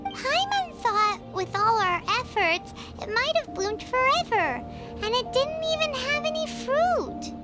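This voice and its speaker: A woman's voice: extremely high pitch, very animated, a little slow, exaggerated voice. A woman voicing a tiny chirpy fairy-like companion girl who talks about herself in the third person, in a game cutscene. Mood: disappointed. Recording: game soundtrack music behind.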